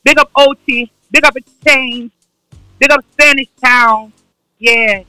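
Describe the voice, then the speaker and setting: Brash high-voiced woman guest. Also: steady tone, slow pace, bright, forceful tone, smooth, no echo, poor audio. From New Jersey. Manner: brash, unfiltered, laughing. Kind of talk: casual chat.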